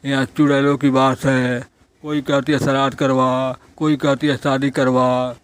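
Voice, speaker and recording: A man voicing a wary village priest in a cartoon, placating and bargaining with drawn-out words. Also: mid-range voice, level tone, clear, resonant tone, natural voice. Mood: wary, weary. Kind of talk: Hindi cartoon story voice acting.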